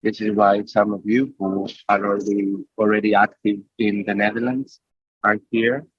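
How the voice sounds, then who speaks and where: Male panel host on a video call, low and halting, dry dull sound. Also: little pitch movement, husky, no echo. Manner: hesitant, many "uh" fillers. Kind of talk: casual spoken introduction.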